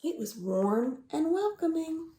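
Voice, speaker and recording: Animated woman reading to kids. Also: very high-pitched, measured pace, reverberant, a little hiss or hum. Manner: animated, sing-song storytelling for young children. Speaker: children's librarian. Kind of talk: storytime with songs and rhymes. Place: at home.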